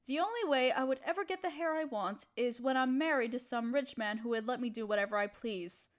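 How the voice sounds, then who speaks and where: A woman playing a gentle, proper young noblewoman who speaks politely and coyly to her servant in an intimate roleplay audio drama. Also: a little high, brisk, natural voice. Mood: wistful. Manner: polite, formal, period phrasing, coyly teasing.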